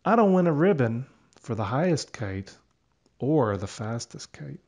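A man reads a children's storybook as its narrator. His pace is slow and deliberate, and his mid-pitched voice moves expressively up and down. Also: very animated, a little mellow. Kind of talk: children's read-aloud narration.

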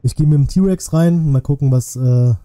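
A man's voice: on the high side, normal speed, mellow, no echo, clean studio sound. A man casually commentating a game live for his viewers, thinking aloud in chatty asides. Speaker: streamer. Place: indoor room, streaming setup. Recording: music playing faintly behind. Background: game music.

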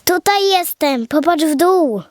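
A woman's voice: extremely high pitch, lively intonation, measured pace, pitched above the performer's own voice. A woman playing a curious, friendly and bold little girl in a children's audio fairy tale, eager and full of wonder. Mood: cheeky, eager.

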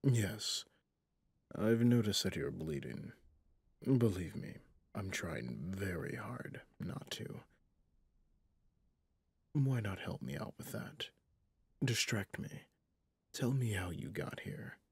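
A man's voice: fairly low pitch, sing-song, no echo, heavily acted. A man playing a stern, menacing, centuries-old vampire hunter in an ASMR roleplay, commanding and often dropping to a whisper. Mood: wary, restrained. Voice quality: husky, breathy, gravelly, often dropping to a whisper. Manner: slow, commanding, interrogating.

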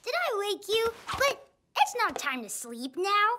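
A performer voicing a cheerful little boy who explores space in a children's cartoon, squealing and giggling with excitement. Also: extremely high pitch, unhurried, background noise, exaggerated voice. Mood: excited. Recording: music playing behind.

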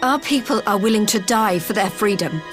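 Female actor as spirited video game rebel leader: earnest, direct, medium pitch. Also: lively intonation, fairly quick, over music, lightly acted. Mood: defiant. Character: determined young woman, resistance leader, frank and spirited.

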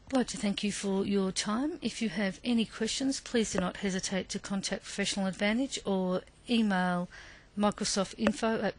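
A woman giving instructional narration as the presenter of a business software demo. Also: mid-range voice, poor audio.

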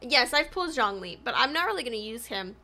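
High, bright-voiced woman gamer. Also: quick pace. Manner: animated, exclamatory, slangy. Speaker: gaming livestreamer. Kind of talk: casual gameplay commentary. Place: small indoor room at home.

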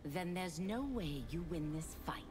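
A woman's voice: fairly low pitch, lively intonation, measured pace, darkish tone, slight background noise. A woman voicing a stern, composed demon warrior in a video game, solemn in manner. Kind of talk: video game character dialogue.

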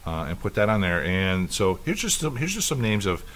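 A man's voice: deep voice, sing-song, normal speed, fairly bright tone. A man in his fifties co-hosting a casual podcast chat, telling long rambling stories with many restarts. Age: 50s. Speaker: podcast co-host.

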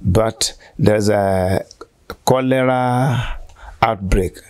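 A man in his sixties with a Nigerian accent, giving halting, deliberate answers as a church shepherd in an interview. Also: on the low side, darkish tone, clear, resonant tone, no echo, studio quality. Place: small furnished room indoors, on a sofa. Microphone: handheld interview microphone held by interviewer.

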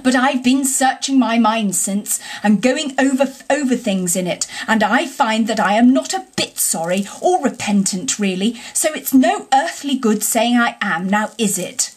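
A woman's voice: fairly high pitch, animated, a little slow, few pauses, slightly rough. A woman voicing a defiant, boastful male toad in a storybook, impatient, gushing and emphatic.

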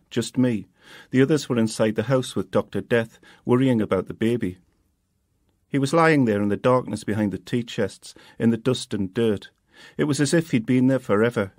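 A man, the author reading his own novel in a quiet, steady first-person voice. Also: mid-range voice, moderate pace, no room sound, clean studio sound. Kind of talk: audiobook reading.

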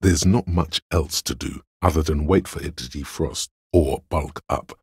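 Super deep raspy inner logic voice for a video game, measured n analytical, really close dry sound. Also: male voice, a little mellow, no echo, heavily acted. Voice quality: gravelly, husky. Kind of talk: video game voice-over narration.

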